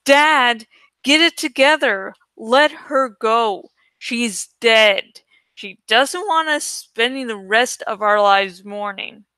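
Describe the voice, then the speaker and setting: A woman's voice: fairly high pitch, expressive, takes it slowly, bright, forceful tone, smooth, dry recording, exaggerated voice. A woman voicing a shaken teenage boy in a story, hesitant and earnest, guarded with his father. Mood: frustrated.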